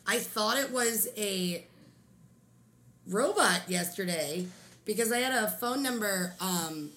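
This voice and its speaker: A young woman from Pennsylvania with a low voice, co-hosting a casual chat and telling stories with frequent laughter. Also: lively intonation, slow pace, fairly bright tone. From Pennsylvania, USA. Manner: chatty storyteller, laughs often. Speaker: podcast co-host.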